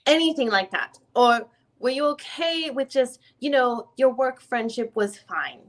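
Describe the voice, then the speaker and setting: A woman's voice: on the high side, animated, measured pace. A woman playing an informal, probing attorney who questions a witness in a reading of a deposition, often rephrasing as she goes. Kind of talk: dramatic reading of a legal deposition.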